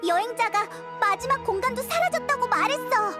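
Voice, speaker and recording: A woman voicing a tiny, childlike female fairy companion in a game, excitable, curious and given to exclaiming and wondering aloud. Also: extremely high pitch, a little fast. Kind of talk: Korean game voice acting. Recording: music behind the voice.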